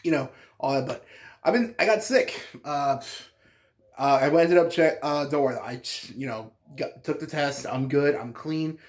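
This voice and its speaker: A congested man with a slightly high voice hosts his card game channel. He rambles in a loose, chatty way, full of fillers and false starts, and his stuffiness stays audible throughout. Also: moderate pace. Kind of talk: casual deck profile vlog.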